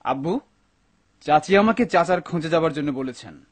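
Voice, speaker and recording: Dutiful young son for cartoon dub, man acting: high, raspy, eager, earnest. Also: expressive, moderate pace.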